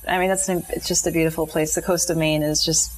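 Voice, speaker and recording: A woman's voice: sits low, level tone, fast, rough recording. A woman from New England with an American accent, speaking as a guest artist in a casual chat. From New England, United States.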